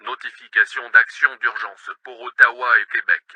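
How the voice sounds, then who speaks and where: A man's voice: mid-range voice, fairly even intonation, brisk, bright, forceful tone, no room sound. A man's synthetic-sounding voice formally reading a scripted emergency broadcast announcement.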